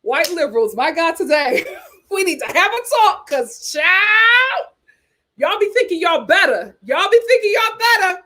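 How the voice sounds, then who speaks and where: A woman hosting a casual chat, giving sassy, animated commentary with slang and answering live chat comments. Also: extremely high pitch, measured pace. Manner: uses casual slang like "child" and "y'all". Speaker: host.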